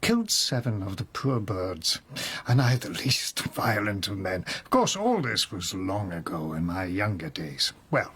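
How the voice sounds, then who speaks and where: A man's voice: low-pitched, sing-song, measured pace, a bit bright, husky, exaggerated voice. An actor in a radio drama playing a drunken nobleman under suspicion, sly and teasing in a languid, ornate, jesting way. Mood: wry reminiscing. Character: drunken nobleman murder suspect, sly, teasing, mock-humble.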